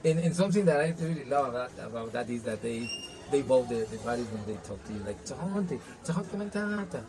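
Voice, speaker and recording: A man's voice: mid-range voice, lively intonation, quick pace, low-quality recording. Earnest man, Spanish native speaker. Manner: earnest, frequent "you know" and restarts. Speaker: interviewee. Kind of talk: casual interview answers.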